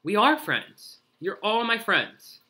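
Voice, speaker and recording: Reader voicing an anxious, bright-voiced storybook groundhog. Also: mid-range voice, big pitch swings, slow, slight background noise, voice pushed up, full character voice, poor audio. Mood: apologetic. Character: anxious people-pleasing male groundhog, apologetic and earnest. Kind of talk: children's storybook character voice.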